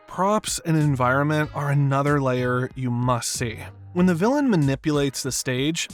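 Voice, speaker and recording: A man delivering scripted narration for an analytical video essay. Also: on the high side. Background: background music.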